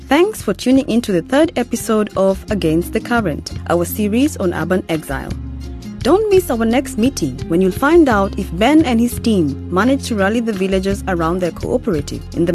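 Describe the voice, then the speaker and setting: A woman's voice: medium pitch, big pitch swings, normal speed. A woman presenting a radio series in scripted narration, with music behind her.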